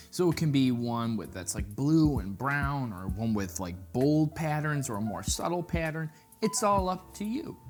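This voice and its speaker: A man presenting a casual fashion vlog, chatty and playful, with jokey asides. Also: medium pitch. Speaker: fashion vlogger. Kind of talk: casual style-tips vlog.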